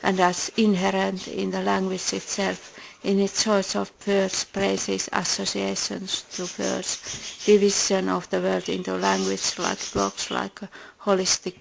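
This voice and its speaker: A woman with a Finnish accent reads her own essay aloud in a heavily gravelly, creaky voice. Her pitch barely moves, and she speaks in measured, deliberate steps. A faint hiss sits under the recording. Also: completely flat delivery, poor audio. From Finland. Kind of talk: read personal essay.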